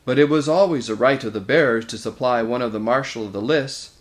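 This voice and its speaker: A man's voice: mid-range voice, fairly quick, natural voice. A man voicing an earnest, simple elder bear in a storybook, with a thick, sleepy voice.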